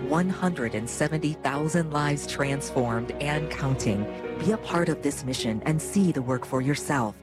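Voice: mid-range voice, a little slow, over loud music. An announcer reading a charity appeal for the radio in an earnest manner.

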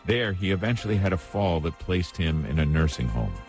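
A man hosting a spiritual radio show, hoarse and raspy after neck surgery, telling a personal story gently and unhurriedly. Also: low-pitched, big pitch swings, fairly quick, soft background music. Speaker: radio host and deacon. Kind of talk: reflective spiritual radio talk.

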